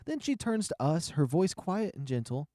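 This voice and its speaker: Mellow, animated male narrator in a roomy space. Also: a little high, normal speed, studio quality. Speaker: narrator and reader of a visual novel. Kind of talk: first-person scripted narration.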